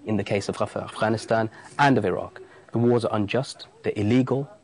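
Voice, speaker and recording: Earnest low-voiced man in his thirties. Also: fairly quick. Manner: earnest, insistent, restarts phrases. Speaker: interviewee. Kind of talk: documentary interview answers.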